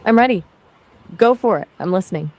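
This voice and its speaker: A woman's voice: medium pitch, lively intonation, fairly quick, darkish tone. An AI voice assistant with a woman's American-accented voice, chatting in an upbeat, encouraging way. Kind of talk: conversational assistant chat.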